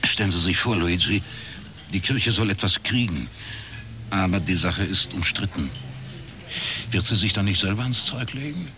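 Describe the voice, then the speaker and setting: A man's voice: low-pitched, lively intonation, normal speed, pauses often, background noise. An actor in his forties playing a wry, world-weary East German private detective in a radio crime drama, talking in a laconic, ironic way. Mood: casual, probing. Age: 40s. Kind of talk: scripted radio crime drama narration and dialogue.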